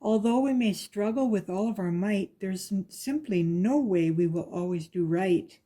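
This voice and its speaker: A woman reads a rhyming picture book to children in a soft-edged, mellow voice with some huskiness. Her delivery is measured and follows the lilt of the verse, at a middling pitch. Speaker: guest story reader.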